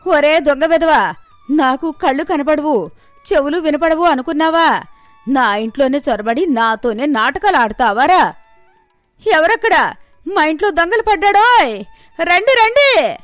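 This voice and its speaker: A woman plays a crafty old mother-in-law from a moral tale, bossy and quick to scold. Her voice sits fairly high, dry, over faint music. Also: expressive, dry recording. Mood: shouting, scolding. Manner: commanding, scolding.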